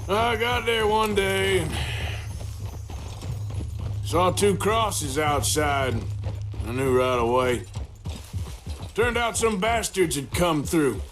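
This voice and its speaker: An actor playing a weary, regretful outlaw of the Old West in a video game, with a rural American Western drawl and a plain-spoken, subdued delivery. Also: male voice, sits high, animated, slow pace, long pauses, fairly bright tone, slight background noise, full character voice. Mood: sorrowful, regretful. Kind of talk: naturalistic dramatic video game acting. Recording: dramatic music behind the voice.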